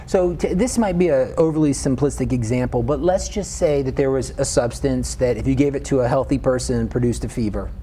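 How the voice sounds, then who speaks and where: Man, interviewer, curious questioning, paraphrasing, dark mellow tone, faint hiss. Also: medium pitch, brisk, few pauses. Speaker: interviewer host.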